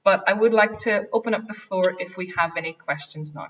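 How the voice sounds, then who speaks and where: A woman's voice: mid-range voice, a little fast, slight background noise. A woman with an Irish accent, head of an English faculty, giving an earnest school webinar talk with frequent ums and restarts. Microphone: video call. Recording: distant, dull online call audio.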